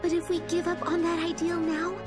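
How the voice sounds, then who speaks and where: A woman playing a gentle, earnest, quietly resolute young ninja woman in an anime game dub. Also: very high-pitched, completely flat delivery, fairly quick. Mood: earnest, tender. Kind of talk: dubbed anime game acting. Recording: loud music under the voice.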